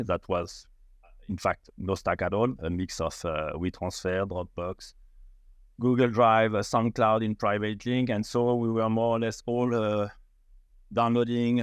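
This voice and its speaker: A middle-aged man with a French accent talks in a podcast interview, his voice sitting fairly low. He speaks haltingly, with frequent fillers and restarts. The sound is close and dry, with no room around it. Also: clear, resonant tone, no room sound. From France. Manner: hesitant, many fillers and restarts. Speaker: startup founder, guest.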